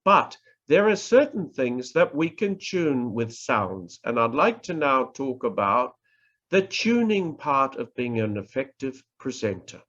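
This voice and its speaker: A man with a New Zealand accent giving a public speaking workshop, talking in a measured, deliberate way and stressing words to demonstrate. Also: mid-range voice, sing-song, fairly bright tone. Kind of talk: slow instructional presentation. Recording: dull lo-fi recording.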